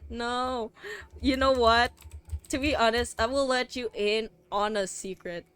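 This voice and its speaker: Chatty young Filipina streamer. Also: female voice, fairly high pitch, steady tone, unhurried, a bit bright, smooth, no room sound. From Philippines. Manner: chatty and rambling, with frequent fillers, laughs and restarts. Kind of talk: casual stream chat.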